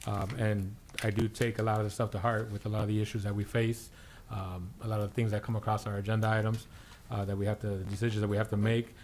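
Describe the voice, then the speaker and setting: A man's voice: lowish voice, flat delivery, fast talker, darkish tone, slight background noise. A man from Illinois giving rambling closing comments as a council member, full of fillers and restarts. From Illinois, USA. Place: city council chamber.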